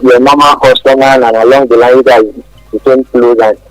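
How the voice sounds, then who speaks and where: A man's voice: mid-range voice, little pitch movement, fairly quick, faint music behind, low-quality recording. A man with a Nigerian accent calling in to a radio show, casually giving a personal account and advice.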